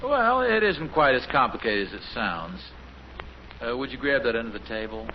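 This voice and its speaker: Actor as animated fumbling American dad, old radio. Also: male voice, mid-range voice, moderate pace, close to an everyday voice. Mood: patient, muddled. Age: middle-aged. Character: fumbling, boastful suburban dad, proud amateur handyman. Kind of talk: 1950s radio sitcom acting. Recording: noisy, muffled 1950s radio recording.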